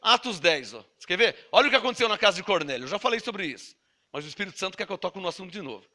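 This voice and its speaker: A man's voice: high-pitched, expressive, very fast, pushed and bright. A Brazilian man with a Brazilian Portuguese accent preaching a sermon, emphatic and scolding, throwing rhetorical questions at his listeners. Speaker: pastor.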